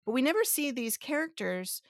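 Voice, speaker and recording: A woman's voice: high voice, big pitch swings, moderate pace, dry recording, clean studio sound. A woman hosting a casual podcast, thinking aloud with restarts and rhetorical questions, a native Spanish speaker. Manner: explains step by step to her co-host. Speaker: podcast host.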